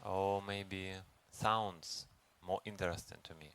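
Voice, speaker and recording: A man leads a guided meditation as a teacher, speaking gently and unhurriedly with long pauses. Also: low voice, fairly even intonation. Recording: noisy recording with ambient background sound.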